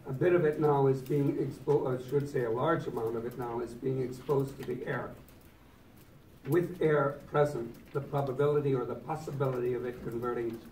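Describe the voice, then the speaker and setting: A man's voice: mid-range voice, a little mellow. An older man, a scientist, explaining informally to a meeting with wry, digressive asides. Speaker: scientist, panelist. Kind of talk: informal expert explanation to an audience. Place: indoor community meeting room. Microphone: distant camera in the audience. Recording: echoey room, distant mic, faint noise.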